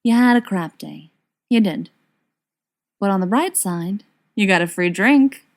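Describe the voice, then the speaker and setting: A woman's voice: medium pitch, expressive, unhurried. A woman voicing a friendly, chatty young man in a storybook reading, cheerful and coaxing. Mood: gentle, wry.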